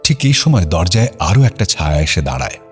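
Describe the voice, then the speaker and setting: A man's voice: deep voice, big pitch swings, fairly quick, faint music behind. A man narrating an audio story drama in a scripted, dramatic style.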